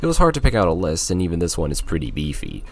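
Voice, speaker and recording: Young male video essayist, deep register with expressive swings, informal and chatty scripted narration. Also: fast talker. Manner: informal, conversational, slangy. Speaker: video game reviewer. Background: music.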